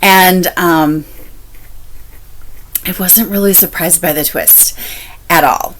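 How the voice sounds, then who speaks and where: A woman chatting casually as a book-review vlogger, candid and expressive, repeating phrases for emphasis. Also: lowish voice, slow, a little hiss or hum. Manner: candid, expressive, laughs while talking.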